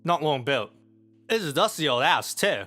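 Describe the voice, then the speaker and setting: An actor playing a smart working-class carter with rough, ungrammatical speech in an audio drama. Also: male voice, a little high, sing-song, normal speed, sharp tone, faint music behind. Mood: forthcoming.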